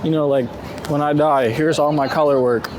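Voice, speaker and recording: A man chatting casually and excitably in slangy speech while out walking as a street photographer. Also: on the high side. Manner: excitable, slangy, quick to gush. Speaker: street photographer being profiled. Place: city street, outdoors. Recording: outdoor street noise, passing traffic. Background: urban ambience and vehicles.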